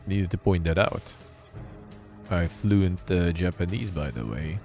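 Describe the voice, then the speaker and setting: Low-voiced man muttering stream commentary. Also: lively intonation, unhurried, gravelly, darkish tone, faint music behind. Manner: offhand, muttering, reads text aloud with wry asides. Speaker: streamer and show host. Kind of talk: casual live-stream commentary.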